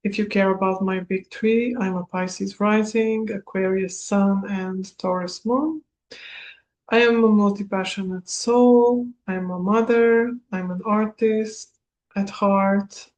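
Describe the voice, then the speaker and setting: Slow, flat-voiced woman coach. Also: medium pitch. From Serbia. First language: Hungarian. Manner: slow, measured, with small laughs. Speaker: spiritual coach. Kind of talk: recorded masterclass talk.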